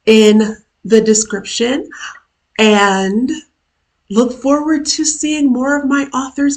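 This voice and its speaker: A woman with a hoarse, worn-out voice, casually chatting as host of her own live stream during a work lunch break, with chatty self-deprecating asides. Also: medium pitch. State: hoarse, lost voice from illness. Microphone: camera mic.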